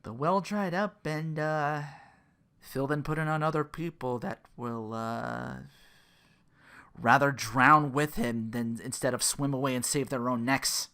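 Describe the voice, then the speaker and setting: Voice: medium pitch, a little slow, pushed and bright. A podcast host in their thirties on a casual comedic rant, sarcastic and profane, sometimes slipping into mocking quotes. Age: 30s.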